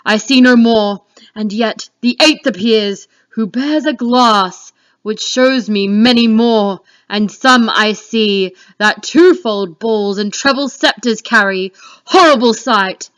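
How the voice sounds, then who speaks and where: A woman's voice: fairly high pitch, slow. A woman reading the part of Macbeth, a fearful, defiant king, in a declamatory Shakespeare dramatic reading. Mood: horrified. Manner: declamatory, exclamatory. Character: Macbeth, male Scottish thane turned king, fearful and defiant. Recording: dull lo-fi home recording.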